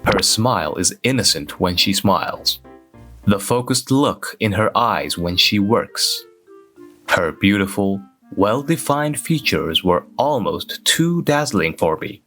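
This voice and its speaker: A male actor as a reserved office worker in a manga dub, speaking low and very slowly. He is socially awkward and understated, telling his own story in a measured deadpan over a music bed. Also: exaggerated voice. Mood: measured, wistful. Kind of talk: scripted first-person narration in a manga dub.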